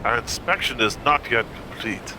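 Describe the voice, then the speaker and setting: A man's voice: medium pitch, lively intonation, moderate pace, background noise, lightly acted. A man voicing a menacing, contemptuous German naval officer in a motion comic adventure. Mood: stern warning. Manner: contemptuous, commanding.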